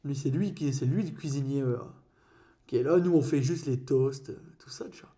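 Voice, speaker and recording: Young man telling a halting, filler-filled story. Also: medium pitch, dark tone. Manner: hesitant, filler-heavy storytelling. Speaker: podcast co-host. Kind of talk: casual chat while painting.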